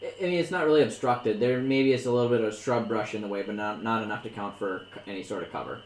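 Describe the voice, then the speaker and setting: A man narrating as dungeon master for friends at a table, relaxed and joking. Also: on the low side, fast. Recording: echoey room, light background noise.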